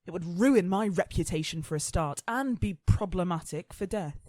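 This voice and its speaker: A woman's voice: fairly low pitch, expressive, normal speed, darkish tone, dry recording. Woman playing a haughty, dry-sounding immortal, swooping delivery. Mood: grave. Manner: scornful, sardonic, sweary. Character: haughty, impatient female immortal entity of death and war. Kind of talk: radio play acting.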